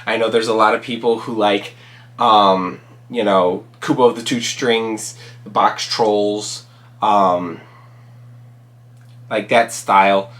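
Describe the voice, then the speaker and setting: Raspy man rambling through movie picks. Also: lowish voice, fairly even intonation, takes it slowly, pauses often, fairly bright tone. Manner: rambling, many ums and restarts. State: raspy from a cold. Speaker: channel host reviewing movies. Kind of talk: casual solo commentary.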